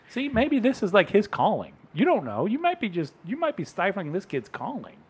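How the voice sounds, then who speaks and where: Man, podcast host, crude teasing jokes, animated voice. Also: high voice, moderate pace, breathy edge. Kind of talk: casual chat.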